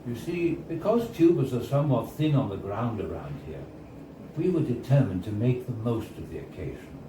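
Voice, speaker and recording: A man gives a live literary reading with an ordinary mid-pitched voice. He tells the story in a measured, wistful way with deliberate pauses. The recording is distant and roomy, with clear noise behind him. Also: mellow. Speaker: reader of a short story. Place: indoors at a bar, live reading. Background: clear background noise and room ambience.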